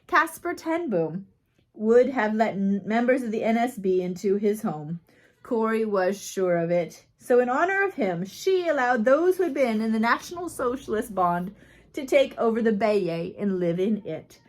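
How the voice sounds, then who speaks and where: Female audiobook reader, slightly high voice, measured phrase-by-phrase delivery of a life story. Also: expressive. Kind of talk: scripted narration of a biography read aloud.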